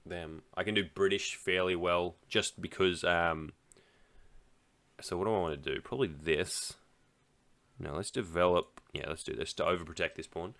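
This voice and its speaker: A man's voice: low-pitched, normal speed, a bit bright. An Australian man talking casually while playing online chess, rambling and thinking aloud, often laughing. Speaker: chess streamer.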